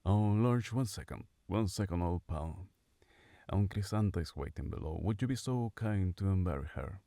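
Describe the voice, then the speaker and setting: A man playing a suave, affable and macabre gothic gentleman in an ASMR roleplay, with a gravelly, mellow voice and courtly manner. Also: low voice, animated, moderate pace, no echo. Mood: genial, courteous.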